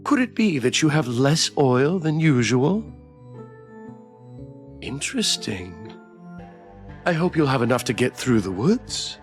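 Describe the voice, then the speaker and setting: A man voicing a calm, menacing witch in a visual novel, teasing and slyly insinuating in a slow manner. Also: mid-range voice, faint music behind. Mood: slyly curious. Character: calm, menacing, mockingly curious forest witch woman.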